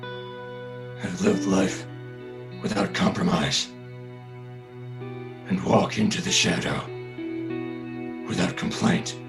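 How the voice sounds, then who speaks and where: A grim vigilante dictating a last journal. The voice is heavily fried and gravelly, slow and clipped. Music plays clearly behind it in this drama. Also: male voice, sits low, wildly swooping pitch, heavily acted. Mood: grim, resolute. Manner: terse, clipped fragments. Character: grim masked vigilante writing his final journal.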